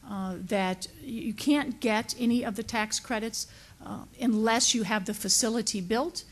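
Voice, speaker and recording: A woman's voice: on the high side, moderate pace. Woman giving explanatory testimony. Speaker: witness testifying to a legislative committee. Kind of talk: explanatory testimony, semi-formal.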